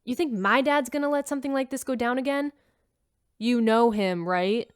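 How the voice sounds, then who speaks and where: A woman's voice: on the high side, normal speed, smooth, dry recording, studio quality, close to an everyday voice. An actress playing a cheeky, defiant teenage girl who talks in a brash, sarcastic way. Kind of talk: sci-fi radio drama.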